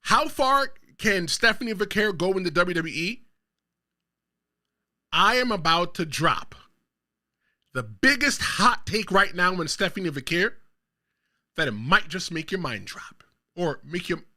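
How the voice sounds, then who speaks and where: A man's voice: high voice, expressive, a little slow, pauses often, sharp tone. A man from the Bronx hosting his own wrestling commentary show, talking straight to viewers and delivering emphatic hot takes. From the Bronx, New York. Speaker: show host.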